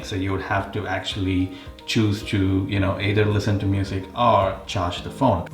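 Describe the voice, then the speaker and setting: A man's voice: fairly low pitch, reverberant. A man giving a casual, explanatory product comparison as the host of a tech review.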